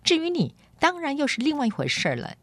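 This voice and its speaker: Woman voicing a haughty, high-pitched noble girl. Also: huge pitch swings, fast talker, no echo. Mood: haughty, annoyed. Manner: fierce hushed complaining.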